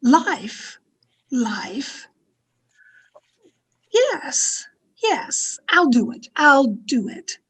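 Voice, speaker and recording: A very high, tremulous voice of a fearful, submissive wife in a radio horror play, played by a woman. She speaks haltingly and melodramatically, with sweeping pitch. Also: very animated, fairly bright tone, full character voice. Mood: fearful, dazed. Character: frightened, obedient wife driven to murder. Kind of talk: old-time radio horror acting.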